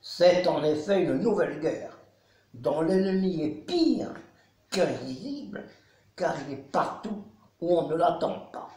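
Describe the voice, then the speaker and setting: A man in his nineties from Belgium, a care home resident, giving a solemn, measured spoken testimony. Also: a little high, animated, darkish tone. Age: 90s. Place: nursing home. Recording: echoey room.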